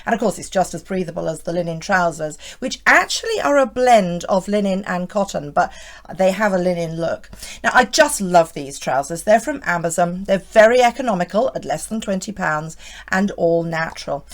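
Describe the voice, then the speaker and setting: A hoarse woman in her fifties gives a chatty, reassuring run-through of outfits. Her pitch rises and falls a great deal as she talks, and she keeps turning to the viewer with little tag questions. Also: animated, normal speed. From United Kingdom. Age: 50s. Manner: chatty, reassuring, often asks the viewer "isn't it". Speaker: style vlogger. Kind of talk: friendly scripted fashion vlog.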